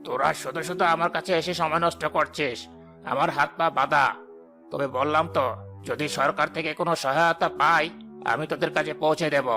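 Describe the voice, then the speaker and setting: A man's voice: a little high, steady tone, a little fast, sharp tone. A man voicing a callous, greedy village headman in a cartoon, dismissive, scolding and self-important. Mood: evasive, self-important. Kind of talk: cartoon voice acting. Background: faint music.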